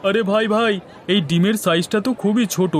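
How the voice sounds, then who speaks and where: A man's voice: high voice, fairly even intonation, brisk, some chatter behind, a little hiss or hum, close to an everyday voice. A man playing a haggling egg customer in scripted cartoon dialogue. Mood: grumbling. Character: haggling egg customer, grumbling then jokey.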